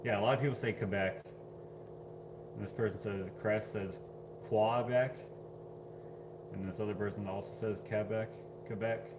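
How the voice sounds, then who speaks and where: A man's voice: fairly low pitch, fairly even intonation, moderate pace, pauses often, noisy background. A man casually chatting on a livestream, reading viewer comments aloud and laughing often. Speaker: livestream host.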